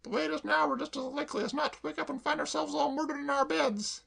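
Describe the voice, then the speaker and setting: A man doing a shrill, melodramatic female cook. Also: fairly even intonation, fast talker, gravelly, a bit bright, full character voice. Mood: darkly dramatic.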